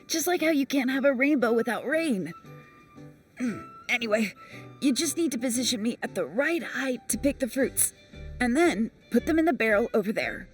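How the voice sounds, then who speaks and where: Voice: mid-range voice, animated, moderate pace, recorded from a distance, natural voice. An actor voicing a friendly, fussy talking origami creature with a long neck, chatty and explaining. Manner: chatty, gently instructive. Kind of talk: video game voice acting. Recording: voice set back from mic, game music.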